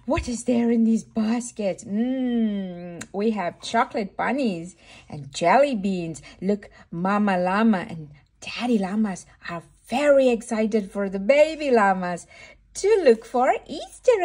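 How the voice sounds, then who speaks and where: A woman's voice: on the high side, a little mellow. A woman reading a picture book aloud to toddlers as a storytime host, in a sing-song, exclaiming manner with simple questions for a small child.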